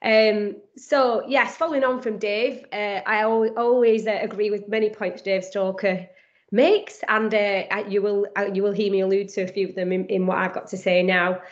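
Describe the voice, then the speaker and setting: A woman with a strong Lancashire accent gives an upbeat, quick presentation on a video call, with frequent ums and restarts. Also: mid-range voice, steady tone, highs cut off. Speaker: guest speaker. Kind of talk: online panel presentation.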